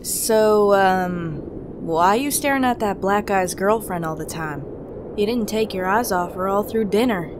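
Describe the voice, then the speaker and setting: A woman's voice: medium pitch, a little slow, noisy background, lightly acted. A woman playing a blunt, forward young woman, direct and matter-of-fact, in a comic dub. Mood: probing. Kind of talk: amateur comic dub acting.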